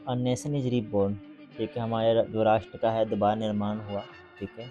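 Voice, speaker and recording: A man from Bihar gives casual, informal vlog commentary, reading English phrases aloud haltingly. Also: lowish voice, flat delivery, mellow, dull audio, soft background music. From Bihar, India. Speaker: vlogger.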